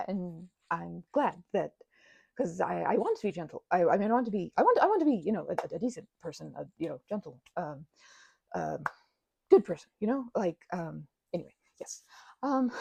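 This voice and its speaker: A woman playing a timid, gentle vampire in an audio roleplay, stammering and hesitant in a soft, breathy voice. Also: fairly low pitch, no room sound, exaggerated voice. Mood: shy, anxious. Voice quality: soft, breathy, sometimes dropping to a whisper. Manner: nervous stammering, hesitant, apologetic, breaking into nervous giggles. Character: timid, grateful, gentle female vampire, shy and friendly. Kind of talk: audio roleplay acting, intimate one-sided conversation.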